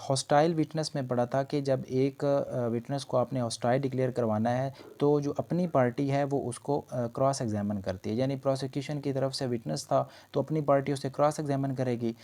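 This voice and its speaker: A man's voice: mid-range voice, vocal fry, warm tone. A man giving an explanatory law lecture, repeating and rephrasing his points as he goes. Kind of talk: lecture explaining legal points in Urdu mixed with English terms.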